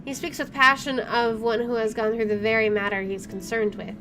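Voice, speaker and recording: A woman's voice: fairly high pitch, steady tone, fairly quick. A woman hosting a let's play, reading story narration aloud and adding casual asides. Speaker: gaming video host. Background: game music.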